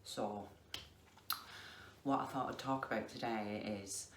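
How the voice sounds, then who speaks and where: A middle-aged woman with a Lancashire accent, chatting casually to camera in a slow, deliberate way with many ums. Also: rumbling low. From England. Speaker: craft vlogger. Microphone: facing the camera. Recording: echoey room with faint noise.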